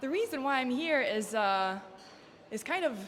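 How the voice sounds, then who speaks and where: A woman's voice: sits high, animated, normal speed, a bit bright, in a large hall. A woman in her twenties with a Norwegian accent, answering interview questions informally as a student. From Norway. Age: 20s.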